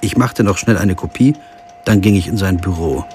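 A man's voice: deep voice, normal speed, a little mellow, faint music behind, natural voice. An actor playing a sober, observant lawyer who narrates his own story in a radio crime drama. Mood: sober, reflective. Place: studio.

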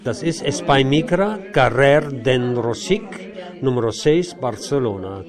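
A man's voice: medium pitch, lively intonation, a little slow. Male interviewer amid background chatter. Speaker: interviewer and radio host. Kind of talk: casual on-location interview. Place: small shop or gallery indoors. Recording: busy background chatter, recorded on location.

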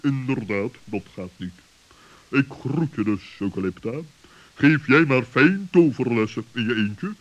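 A man playing a stern, sceptical, pompous owl in a children's radio play, questioning in a measured way. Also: mid-range voice, animated, natural voice. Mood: scoffing, dismissive. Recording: dull vintage radio play recording.

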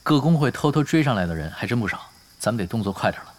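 An actor playing a sly gamer mastermind in an audio drama, giving orders in a casual, teasing way. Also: male voice, medium pitch, animated, quick pace, lightly acted. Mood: breezy scheming.

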